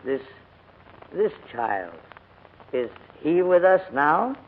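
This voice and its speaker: An actor playing a crusty but kindly older gentleman in a formal, hesitant manner, in 1940s radio drama style. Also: a little high, sing-song, drawn-out words, full character voice. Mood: gentle, subdued. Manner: fussy, grumbling, formal, hesitant. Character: crusty, reluctant but kindly old English gentleman guardian. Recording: muffled vintage 1940s radio recording, music.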